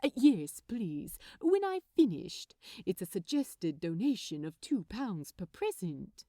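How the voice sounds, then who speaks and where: A woman's voice: medium pitch, big pitch swings, takes it slowly, dry recording, clean studio sound. A woman voicing a polite, affectionate, genteel man who loves wrapping gifts, in a storybook reading. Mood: kindly, pleased. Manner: prim, bright, polite, affectionate.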